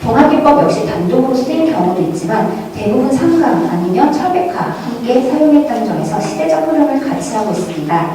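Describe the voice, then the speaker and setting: Female conference presenter, formal scholarly read, mellow tone, recorded from afar in a very echoey room. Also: sits high, sing-song. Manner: measured, formal scholarly delivery. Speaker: academic presenter at a museum conference. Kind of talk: formal conference presentation from prepared notes, with slides. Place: large echoey lecture hall at a conference. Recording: very echoey hall, distant mic, faint noise.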